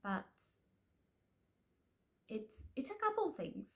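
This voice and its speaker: A woman's voice: high-pitched, big pitch swings, slow pace. A young woman whose first language is Spanish, talking casually and candidly to camera as an aspiring author, chatty and self-deprecating. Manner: chatty, self-deprecating, restarts and laughs often. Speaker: aspiring author and channel host. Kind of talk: casual confessional vlog.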